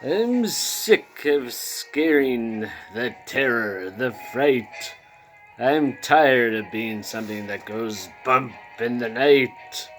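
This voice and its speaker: A man voicing a bored, weary skeleton in a storybook poem, lamenting in a dramatic, drawn-out manner. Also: mid-range voice, animated, a bit bright, soft background music, voice pushed up. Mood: weary, fed up. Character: weary, bored, discontented male skeleton of Halloween land.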